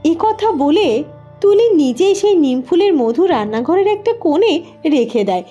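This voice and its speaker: A woman's voice: very high-pitched, sing-song, moderate pace, warm tone, highs cut off, faint music behind. A woman narrating a children's fairy tale as a scripted storyteller.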